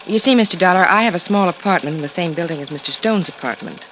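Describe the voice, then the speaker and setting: Casting: polite, guarded American secretary, old radio drama, hesitant and proper, muffled recording with faint noise. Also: female voice, on the low side, lively intonation, fast talker, a bit bright. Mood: polite, composed. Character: guarded, polite female secretary giving a statement. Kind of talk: 1950s radio drama acting.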